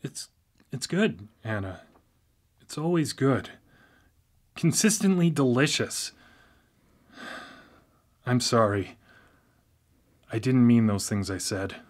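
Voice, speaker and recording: A man's voice: medium pitch, animated, slow, pauses often. A male actor in a radio play playing a dependent, easily rattled brother with a hesitant, emotional delivery. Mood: sheepish. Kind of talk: live radio play acting.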